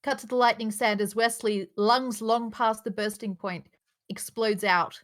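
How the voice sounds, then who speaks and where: A woman's voice: on the high side, steady tone, a little slow, fairly bright tone. A woman reading stage directions aloud at a live table read, in an informal narrating style. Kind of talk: live script narration with casual asides.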